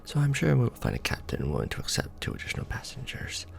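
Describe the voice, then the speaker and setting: A man playing a courtly, doting vampire lord in an ASMR romance roleplay, speaking formally and deliberately with tender pauses. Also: medium pitch, huge pitch swings, gravelly, full character voice. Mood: commanding, scheming.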